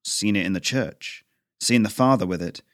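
A man doing a gruff, barking stern father. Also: lowish voice, normal speed, no echo, clean studio sound, natural voice. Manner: barking, growling orders. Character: stern, gruff working father, middle-aged.

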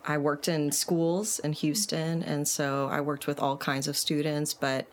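Female guest psychologist from Puerto Rico, very low register, measured explainer, audible noise behind. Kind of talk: conversational interview answers. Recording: clear steady background noise.

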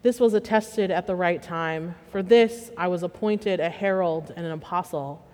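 A woman from Western Pennsylvania reading scripture and preaching a sermon in a measured, plainspoken way with gentle humor. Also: fairly low pitch. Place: church sanctuary. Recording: long reverb of a church sanctuary.

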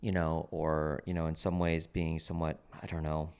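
A man interviewing a peer about church life, halting and restarting his phrases and checking that he is understood. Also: rumbling low, flat delivery, a little mellow, clean tone. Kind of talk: conversational interview.

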